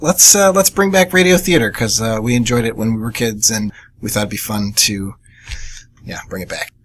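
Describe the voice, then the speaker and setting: A man's voice: on the low side, moderate pace, fairly bright tone. A man co-hosting a radio show, making announcements in a casual, chatty, jokey way.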